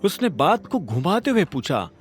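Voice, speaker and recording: Man, audio story narrator, dramatic scripted delivery, very varied pitch, music bed. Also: big pitch swings, normal speed.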